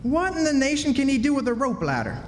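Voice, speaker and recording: A man's voice: wildly swooping pitch, fast talker, exaggerated voice. A man playing a skeptical but loyal country boy in a radio play, folksy and exclamatory. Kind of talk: stage radio drama acting. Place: live stage in a hall. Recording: reverberant hall, rumbling noise.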